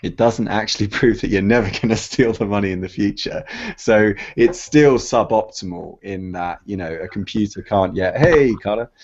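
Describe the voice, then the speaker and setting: Male co-host, lowish voice, dry and explanatory, muffled call audio. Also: normal speed, darkish tone. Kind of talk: casual live-stream chat.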